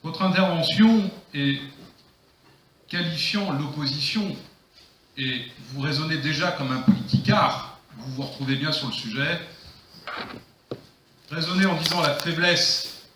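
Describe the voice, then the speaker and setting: Male mayor, sharp and emphatic political rebuttal, declamatory, roomy sound with faint noise. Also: a little high, unhurried, pauses often, far from the mic. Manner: emphatic, declamatory, rhetorical repetition. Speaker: mayor chairing the council. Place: council meeting room. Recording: echoey room, faint noise, clicks.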